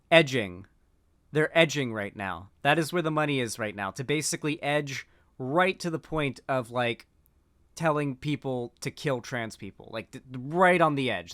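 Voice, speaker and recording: A man's voice: mid-range voice, fairly bright tone, no room sound, studio quality. A man giving casual commentary on clips, rambling with frequent fillers and restarts. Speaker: political commentator.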